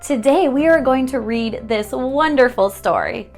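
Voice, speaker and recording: A woman's voice: high-pitched, lively intonation, measured pace, faint music behind. A woman host presenting a read-along story show for children.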